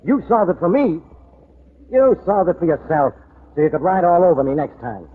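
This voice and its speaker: An actor playing a gruff, put-upon police inspector in a 1940s radio drama. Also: male voice, fairly high pitch, lively intonation, normal speed, slight background noise, natural voice. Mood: grumbling. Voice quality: gruff, exasperated. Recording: tinny old radio broadcast.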